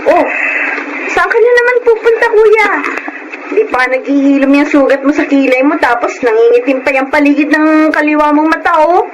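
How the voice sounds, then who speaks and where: A woman's voice: sits high, lively intonation, moderate pace. A young Filipino woman playing a devout, worried younger sister, earnest and pleading, in a radio drama. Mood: alarmed. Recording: clearly noisy radio drama audio.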